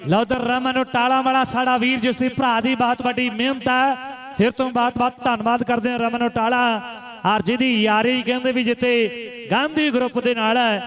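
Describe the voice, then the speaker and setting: A man's voice: extremely high pitch, flat delivery, normal speed, a bit bright. A man giving live kabaddi commentary and announcements, repeating phrases for emphasis and rousing the spectators. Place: live village kabaddi tournament ground.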